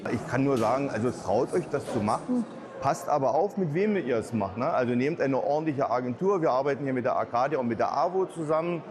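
A man's voice: mid-range voice, lively intonation, fairly quick. A man speaking colloquially and spontaneously in an interview as a regional business owner. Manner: colloquial, plain-spoken. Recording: busy crowd noise behind.

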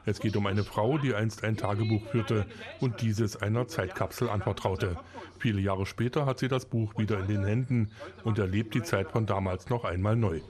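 A man reading a local news report in a measured, scripted narration style. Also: on the low side, steady tone, a crowd in the background.

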